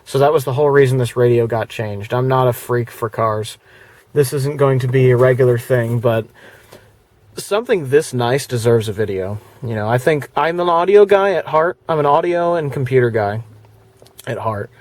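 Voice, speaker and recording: A man's voice: mid-range voice, animated, normal speed, a little mellow, no room sound. A man talking in a casual, plainspoken, rambling way, like someone presenting a product review vlog. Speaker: video presenter.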